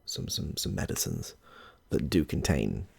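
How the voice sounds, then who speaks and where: A man playing a chatty, affable medical intake clinician in a soft-spoken ASMR roleplay, hesitant and reassuring, with a husky, gravelly voice. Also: very deep voice, sing-song, measured pace, heavily acted. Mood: attentive, mildly flustered. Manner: hesitant, rambling, reassuring, murmurs to himself.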